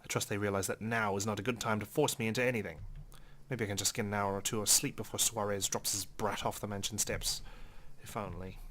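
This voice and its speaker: A man's voice: lowish voice, level tone, brisk, a bit bright, slight background noise. A man reading visual novel text aloud as a let's player, with occasional brief commentary.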